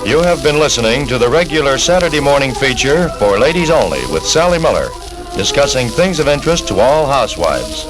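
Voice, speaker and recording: An American man delivers a 1940s radio announcement with very animated intonation. His voice sits at a medium pitch over prominent music. It sounds like an old broadcast recording. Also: a little fast, poor audio.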